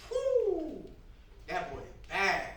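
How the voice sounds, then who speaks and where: A man plays a restless younger brother in a staged drama reading. His high, lively voice is slangy and hesitant, heard in a very echoey room. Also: extremely slow, full character voice. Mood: reflective, teasing. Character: Franklin, restless younger brother just out of jail. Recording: very echoey room, distant mic, noisy.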